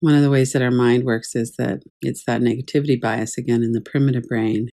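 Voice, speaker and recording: Mellow, low-key woman guiding meditation. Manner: calm, measured, gently instructive. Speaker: yoga nidra meditation teacher. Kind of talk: guided meditation instruction.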